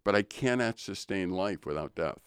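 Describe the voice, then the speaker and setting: An older man with a very low, deep voice talks through ideas of faith in a thoughtful, unhurried way, a little preachy. He co-hosts a relaxed studio podcast. The sound is close and dry, with no room echo. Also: smooth. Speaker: co-host. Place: radio studio.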